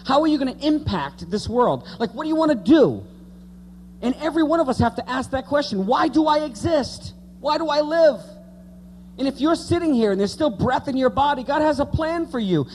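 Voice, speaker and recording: A man's voice: extremely high pitch, a little mellow, a little hiss or hum. A man in his late thirties preaching an informal evangelical sermon, repeating key words for emphasis and speaking conversationally to the congregation. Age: late 30s.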